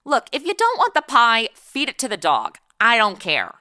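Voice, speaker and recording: A woman's voice: on the high side, wildly swooping pitch, moderate pace, bright, forceful tone, full character voice. A woman playing a sarcastic modern party girl in an audio comedy, slangy and blasé, acting and narrating. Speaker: lead character and narrator.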